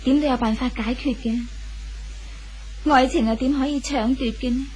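A woman playing a gentle, devoted sweetheart in a 1970s radio drama, earnest and conciliatory, with a Hong Kong Cantonese accent. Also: sits high, fairly even intonation, normal speed, lightly acted. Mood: tender, hopeful. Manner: soft-hearted, pleading, earnest. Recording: noisy old radio drama recording.

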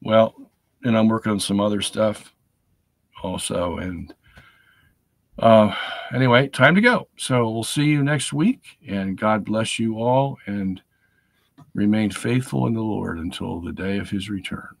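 A middle-aged man talks casually to his viewers from a home study, hoarse from a cold that won't clear. His lowish voice is gravelly and dry. He keeps tossing in "okay?" as he goes. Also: animated, measured pace, no room sound. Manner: chatty, repeats "okay?" to check in with viewers. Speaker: host of a live stream. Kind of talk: casual live-stream talk. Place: home study lined with bookshelves.

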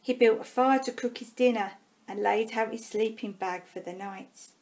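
A woman reading a children's storybook aloud expressively, with dramatic pauses and drawn-out words. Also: on the high side, steady tone, darkish tone. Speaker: primary school staff member reading a storybook.

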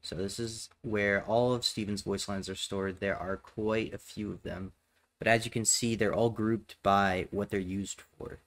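A man narrating a step-by-step software tutorial in a matter-of-fact, instructional way. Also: fairly low pitch, fairly even intonation, a little slow. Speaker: tutorial presenter.

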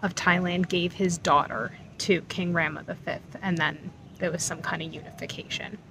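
A woman's voice: on the low side, fairly even intonation, moderate pace. Woman, thirties, travel vlogger, chatty and reflective, noisy outdoor take. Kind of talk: casual travel vlog. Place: outdoors at temple grounds. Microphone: phone camera at arm's length.

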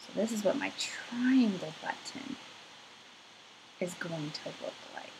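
A woman's voice: on the low side, expressive, slow pace, noisy background. A woman in her thirties leading a craft activity for young children, talking to them directly in an encouraging way, recorded on a webcam at home. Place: small furnished room at home, indoors.

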